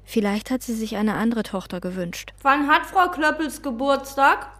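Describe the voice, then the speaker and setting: An actress playing a withdrawn young hotel maid who answers in short words in a radio play. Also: female voice, fairly high pitch, steady tone, moderate pace, a bit bright. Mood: brooding. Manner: terse, withdrawn.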